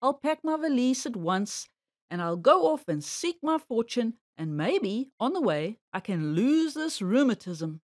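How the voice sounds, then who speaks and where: A woman voicing a genteel elderly gentleman rabbit in a children's story, jolly and curious, speaking with polite, old-fashioned eagerness. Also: fairly high pitch, big pitch swings, a little slow, no room sound, studio quality. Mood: hopeful.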